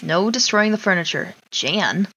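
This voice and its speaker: A woman's voice: medium pitch, animated, measured pace, lo-fi sound, noisy background. A woman giving casual let's play commentary, chatting to the game's characters and muttering to herself with casual swearing. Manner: talks to the game characters, muttering to self. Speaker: gaming video host.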